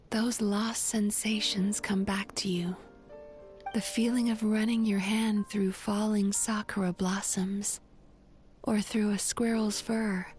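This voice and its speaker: A woman playing a gentle dream therapist in a video game, speaking softly in a soothing, careful manner. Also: medium pitch, natural voice. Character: gentle, attentive female dream therapist guiding a session. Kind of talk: anime-style video game voice acting. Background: game music.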